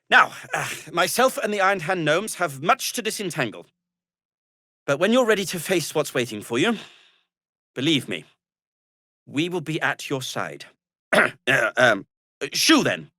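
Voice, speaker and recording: Slow-spoken, earnest, weary gnome. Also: male voice, fairly high pitch, bright, forceful tone, dry recording, clean studio sound, exaggerated voice. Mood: grateful, warm. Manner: hesitant, self-deprecating, sighing delivery. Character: earnest, weary, kind-hearted gnome man, wry and loyal. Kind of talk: video game character dialogue.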